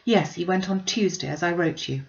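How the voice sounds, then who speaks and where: A woman voicing a gentle, anxious young elder sister in an audiobook of a classic novel, earnest and reassuring. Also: fairly low pitch, normal speed, vocal fry, dull audio.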